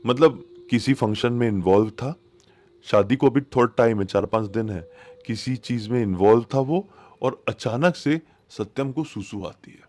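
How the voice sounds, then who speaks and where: A man with a slightly low voice tells a horror tale over background music. His delivery is informal and conversational, repeating phrases for suspense as he addresses the listener directly. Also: gravelly, a little mellow. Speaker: storyteller.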